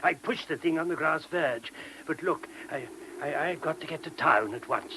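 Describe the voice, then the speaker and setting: An actor playing an agitated but courteous British monk who stammers urgently, in an old-style radio play. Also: male voice, fairly high pitch, normal speed, pushed and bright. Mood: flustered, urgent. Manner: flustered, stammering, urgent, polite. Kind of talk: mid-20th-century British radio play acting. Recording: noisy vintage radio drama recording.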